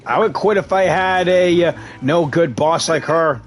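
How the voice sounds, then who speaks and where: A male game streamer with a notably high voice. He gives casual, reactive commentary and voices lines of dialogue as he plays, with faint music behind him. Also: a little slow. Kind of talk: live playthrough commentary, reading dialogue aloud.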